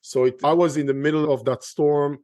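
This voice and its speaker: A man's voice: a little high, steady tone, moderate pace, dark tone, dry recording. Israeli-accented man, dry close mic. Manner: earnest, restarts sentences often. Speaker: guest, real estate investor. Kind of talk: conversational podcast interview.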